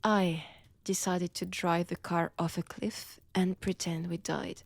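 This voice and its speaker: Woman acting as ancient vampire villainess, French accent, unhurried delivery, seductive, mocking, menacing, breathy whispers, dry intimate audio drama. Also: lowish voice, level tone, no room sound. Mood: wry, bitter. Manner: slow, taunting, theatrical, dropping often into breathy whispers.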